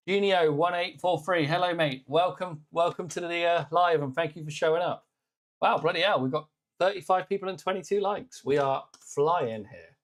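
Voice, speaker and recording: A British man with a naturally loud voice, hosting a casual live stream demo and chatting warmly with viewers. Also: a little high. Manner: chatty, upbeat, greets viewers by name. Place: workshop with laser engravers.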